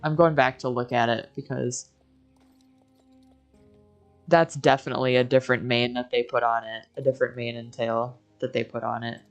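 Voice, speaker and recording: A woman's voice: extremely low pitch, fairly even intonation, moderate pace, pauses often, dull audio, soft background music. A woman in a casual chat commenting on a video game as a streamer, speaking in an opinionated, candid way.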